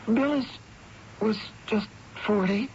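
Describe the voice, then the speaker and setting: An actress playing a shaken, bewildered estranged wife in a radio drama, with a hesitant, dramatic delivery. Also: female voice, on the high side, slow pace, creaky, a little mellow, slight background noise. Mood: anxious, grieving. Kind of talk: radio drama acting and narration. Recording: dull lo-fi radio broadcast.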